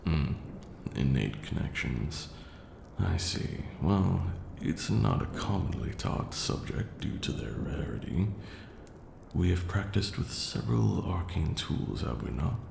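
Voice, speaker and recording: A man playing a pompous but patient vampire lord who lectures on magic in a deliberate ASMR roleplay style. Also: big pitch swings, heavily acted. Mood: patient lecturing. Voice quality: low, gravelly. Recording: roomy echo, audible background hiss.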